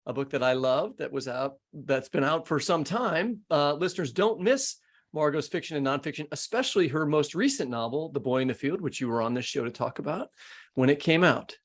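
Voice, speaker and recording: A man hosting a podcast, chatting casually about books in a halting way as he thinks aloud. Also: fairly high pitch, animated. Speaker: podcast host. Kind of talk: casual literary discussion.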